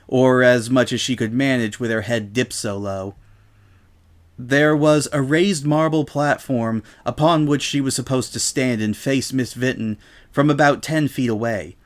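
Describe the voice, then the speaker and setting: A man's voice: medium pitch, normal speed. A man reading scripted story narration as the narrator of a dramatic reading.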